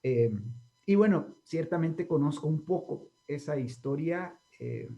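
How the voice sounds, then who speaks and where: A man's voice: a little high, expressive, a little slow, warm tone. A man with a Guatemalan accent interviewing a guest, rambling with many fillers and tag questions. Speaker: interviewer, channel host. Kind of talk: casual interview.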